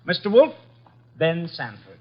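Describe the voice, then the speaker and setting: A man's voice: on the high side, big pitch swings, measured pace. An actor playing a breezy, streetwise American legman to a detective, flip and easygoing in an old radio drama. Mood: breezy, teasing. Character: breezy, wisecracking male detective's legman, narrating his cases. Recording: dull, lo-fi old radio recording.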